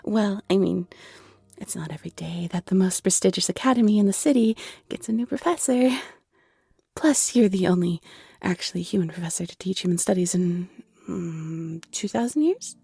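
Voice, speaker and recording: A woman's voice: on the low side, moderate pace, creaky, warm tone, slightly rough, no room sound, faint music behind. A woman playing a shy, polite young half-owl shopkeeper, hesitant, apologetic and nervously chatty, in a roleplay audio drama. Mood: curious, apologetic.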